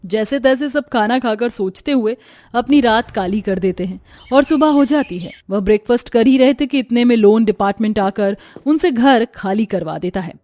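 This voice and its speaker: A woman's voice: a little high, dark tone, no room sound. A woman giving scripted narration of a moral story as its storyteller.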